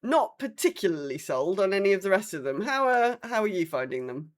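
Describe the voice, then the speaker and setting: A woman's voice: medium pitch, big pitch swings, fairly quick. Blunt, sweary woman chatting casually. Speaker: podcast co-host. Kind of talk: casual chat about an online game.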